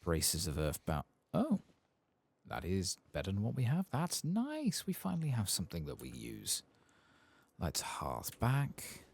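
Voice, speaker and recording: A man giving soft-spoken ASMR commentary over a game playthrough in an unhurried murmur. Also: low-pitched, wildly swooping pitch, gravelly, husky. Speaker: ASMR gaming streamer.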